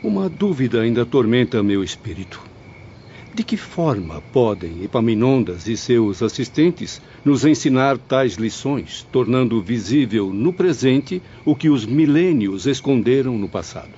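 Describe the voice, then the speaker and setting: A doubting spirit student, played by a man in an audiobook drama. His voice is mellow and medium-pitched, with formal, questioning phrasing. The sound is muffled, with crickets behind. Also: expressive, a little slow. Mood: puzzled. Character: troubled, doubting male spirit student seeking answers. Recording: muffled, faint noise, nighttime crickets.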